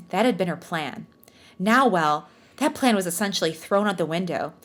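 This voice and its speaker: A woman's voice: on the low side, expressive, moderate pace. A woman podcast host who reads fan fiction aloud in a storytelling style, with casual, self-mocking joking asides. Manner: jokey, self-mocking, bantering.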